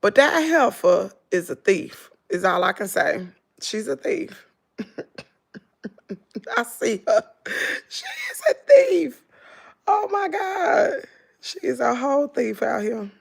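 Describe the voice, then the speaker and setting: A middle-aged woman with a Southern American accent, hoarse, chatting casually and gossiping with her viewers in a dramatic way. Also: fairly high pitch, big pitch swings, slow pace, long pauses. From Southern United States. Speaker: vlogger.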